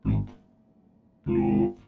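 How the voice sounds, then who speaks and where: An actor voicing a cold, inquisitive ancient battle machine in a game, his voice run through a robot filter and delivered with flat, clipped precision. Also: very slow, narrow, tinny audio, faint music behind. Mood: curious. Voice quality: extremely deep, gravelly, mechanical. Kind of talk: game cutscene dialogue.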